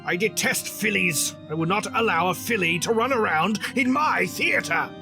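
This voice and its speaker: An actor playing a haughty, sardonic phantom creature of the opera house in an audio drama, theatrical and disdainful. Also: male voice, high voice, animated, moderate pace, creaky, over music. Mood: scornful, disdainful.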